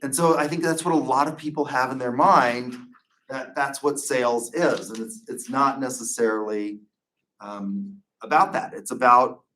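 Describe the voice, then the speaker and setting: A man's voice: medium pitch, moderate pace, a bit bright, poor audio. A middle-aged man, a marketing professor, giving a casual, rambling classroom lecture full of asides and restarts.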